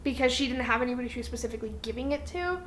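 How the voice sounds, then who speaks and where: A woman's voice: fairly high pitch, racing, a bit bright. A young woman co-hosting a podcast, chatting casually with a friend and explaining things informally, acting out quotes as she goes. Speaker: podcast co-host. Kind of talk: casual chat between friends. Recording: roomy echo, faint background noise.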